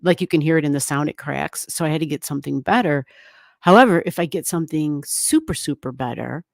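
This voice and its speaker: A woman's voice: deep voice, lively intonation, fairly quick, creaky, dry recording, studio quality. A woman hosting an astrology livestream from a room at home, chatting casually with viewers, rambling and laughing often. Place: home room, not soundproofed. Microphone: desk microphone, turned down halfway.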